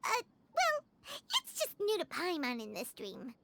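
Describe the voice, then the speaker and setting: A woman voicing a small, excitable, childlike fairy companion in a video game, squeaky and full of exclamations. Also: measured pace, vocal fry, slight background noise, full character voice. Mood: excited, surprised. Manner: squeaky, exclamatory, speaks of self in third person.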